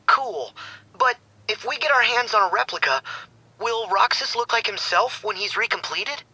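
An actor voicing an eager, excitable companion with a raspy voice in a cartoonish video game. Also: medium pitch, moderate pace, heavily acted, poor audio. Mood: excited, curious.

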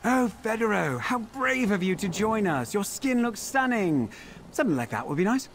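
An actor playing a vain, witty fallen dandy in a video game, theatrical and droll. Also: male voice, high-pitched, very animated, moderate pace, a bit bright, a little hiss or hum, heavily acted. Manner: theatrical, self-regarding, droll.

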